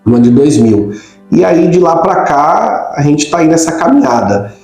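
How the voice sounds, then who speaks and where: A man's voice: a little high, sing-song, moderate pace, creaky, a little mellow. A middle-aged Brazilian man from Rio de Janeiro state, a pastor explaining things conversationally as an interview guest. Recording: very echoey room, faint music.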